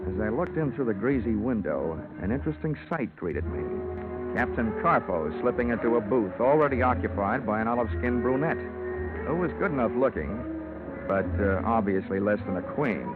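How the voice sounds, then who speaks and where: An actor playing a wry, hard-boiled insurance investigator, deadpan and wisecracking, in an old-time radio detective drama. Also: male voice, on the low side, normal speed, over loud music, lightly acted. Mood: wry, narrating. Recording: tinny vintage radio recording.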